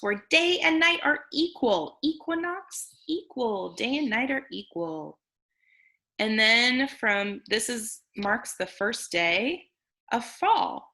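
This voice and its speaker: Woman teacher reading aloud, bright creaky voice. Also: mid-range voice. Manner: explanatory, breaking down words simply. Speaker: teacher reading a picture book aloud to children. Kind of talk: read-aloud lesson with simple explanations. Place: online video meeting with screen sharing.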